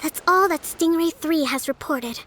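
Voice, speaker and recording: A woman playing a composed, earnest young island leader in a video game, speaking in a formal, measured manner. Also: high-pitched, fairly bright tone. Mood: grave, earnest.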